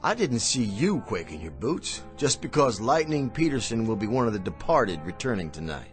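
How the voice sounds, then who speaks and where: A man's voice: lowish voice, sing-song, music in the background. An actor playing a courteous, insinuating miracle worker and con man in a radio drama. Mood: insinuating, mocking. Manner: courteous, insinuating, drawn-out mock politeness. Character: smooth-talking, sly male miracle worker and con man.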